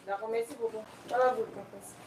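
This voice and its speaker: A woman on a phone call, giving short, quick answers. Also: a little high, fairly even intonation, darkish tone, reverberant, a little hiss or hum. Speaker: caller. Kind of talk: phone conversation.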